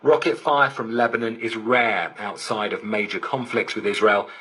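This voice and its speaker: Low, bright, grainy male reporter. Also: steady tone, moderate pace, breathy edge. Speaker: news correspondent. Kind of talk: scripted news report. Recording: digital shortwave radio broadcast reception.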